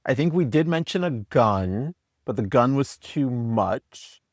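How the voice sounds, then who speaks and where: A man co-writing the show, chatting casually in an earnest way that trails off softly. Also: mid-range voice, expressive, slow, no echo, clean studio sound. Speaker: co-writer. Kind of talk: casual chat.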